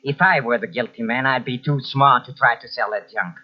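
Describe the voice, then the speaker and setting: An actor playing a tormented, guilt-ridden poor writer under suspicion, agitated and halting, in old-time radio drama style. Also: male voice, mid-range voice, natural voice. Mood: indignant, defiant. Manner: agitated, halting, evasive, by turns sarcastic. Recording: dull lo-fi old radio cassette transfer.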